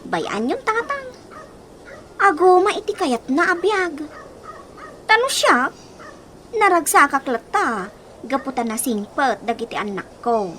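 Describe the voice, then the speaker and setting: A woman's voice: sits high, very animated, slow pace, pauses often, bright, forceful tone, slight background noise, heavily acted. A woman voicing a hurt wife left by her husband, in a radio drama. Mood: resigned.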